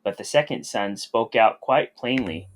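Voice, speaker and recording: A man's voice: lowish voice, measured pace. A man reading a scripted children's story aloud. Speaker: storybook reader.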